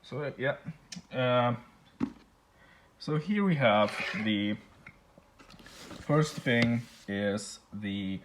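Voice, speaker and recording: Slow, hesitant man. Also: mid-range voice, expressive, noisy background. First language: Polish. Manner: hesitant, many fillers and restarts. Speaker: book collector vlogger. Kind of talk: casual show-and-tell.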